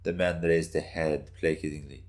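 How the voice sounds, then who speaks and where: Very deep-voiced male story narrator. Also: steady tone, moderate pace, darkish tone. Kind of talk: scripted dramatic story narration.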